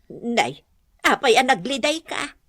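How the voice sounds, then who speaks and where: Actress as a slow, animated gossipy Ilocano housemaid. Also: female voice, on the high side, husky, no room sound, heavily acted. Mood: gossipy, admiring. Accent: Filipino, Ilocano. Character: gossipy, outspoken older household maid. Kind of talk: radio comedy drama acting.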